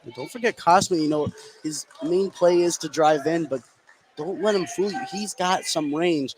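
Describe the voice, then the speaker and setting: A man's voice: on the high side, normal speed. A man giving casual color commentary during a live basketball broadcast. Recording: noisy live gym ambience.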